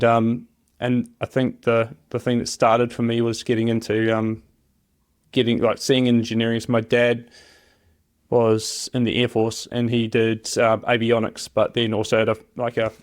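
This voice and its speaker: A man's voice: medium pitch, a little mellow. A man from New Zealand with a New Zealand accent, talking casually and hesitantly as an interview guest, rambling through personal stories. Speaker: interview guest, job shop machinist.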